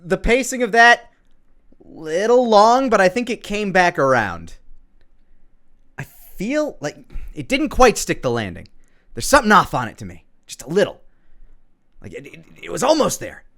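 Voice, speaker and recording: Animated, bright-voiced man giving commentary. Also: high voice, normal speed, long pauses. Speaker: creator reviewing own parody episode. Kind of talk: casual commentary.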